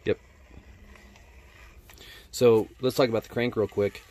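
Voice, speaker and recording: Low-voiced man narrating a casual unboxing. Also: fairly even intonation, measured pace, darkish tone. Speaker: product reviewer. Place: indoors at a wooden workbench.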